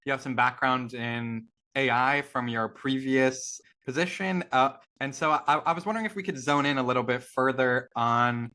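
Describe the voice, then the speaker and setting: A man hosting a podcast interview, asking questions in a measured way with occasional stammered restarts. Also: mid-range voice, steady tone, pushed and bright. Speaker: podcast host.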